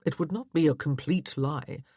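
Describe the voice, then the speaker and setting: A woman's voice: sits low, normal speed, warm tone, slightly rough, no room sound, studio quality. A woman voicing a curt, stubborn, sarcastic husband in a period romance audiobook, with clipped formal phrasing. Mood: curt, defiant.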